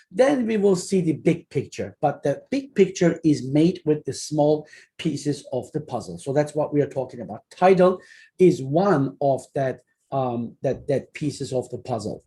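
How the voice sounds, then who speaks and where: Turkish-accented guy in his 40s lecturing over a video call, kinda high voice but soft and mellow, lots of ums and restarts. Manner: earnest, halting explaining with many fillers and restarts. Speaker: journal editor and academic speaker. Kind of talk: online webinar lecture. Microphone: computer mic on a video call.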